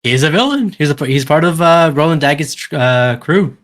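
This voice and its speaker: A man's voice: fairly high pitch, lively intonation, normal speed, a bit bright. A man hosting a casual group podcast discussion, introducing items and polling the panel. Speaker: podcast host.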